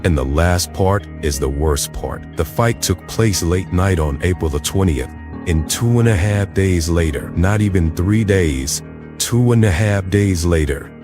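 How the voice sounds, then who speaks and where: A man recounting a boxing drug-testing timeline step by step, in a steady manner. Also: deep voice. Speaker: boxing commentator. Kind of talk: reading out a timeline.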